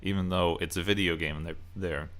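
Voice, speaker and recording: Really low voiced guy doing a casual video essay, rambly and opinionated. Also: fairly even intonation, brisk, a bit bright. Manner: rambling, opinionated, stresses key words. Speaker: video essayist and game reviewer. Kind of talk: casual loosely scripted commentary.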